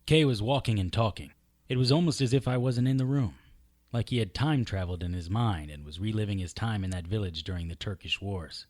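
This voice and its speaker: A man's voice: on the low side, a little mellow. A man playing a wisecracking, defiant occult investigator in an audio drama, wry and sardonic. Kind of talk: audio drama acting with first-person narration.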